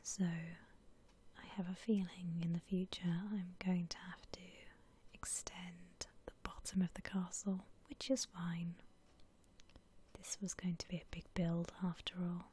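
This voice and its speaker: A woman whispering gently and unhurriedly in a soft, breathy ASMR style, musing aloud as she comments on a game. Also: lowish voice, little pitch movement, a little slow, a little hiss or hum. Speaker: host of an ASMR gaming channel.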